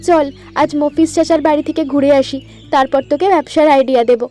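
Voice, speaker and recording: A woman voicing a kind village woman who runs a sewing business, speaking warmly and encouragingly in a cartoon. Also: sits high, steady tone, fast talker, soft background music, natural voice. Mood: kindly. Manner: warm, encouraging. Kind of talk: cartoon voice acting.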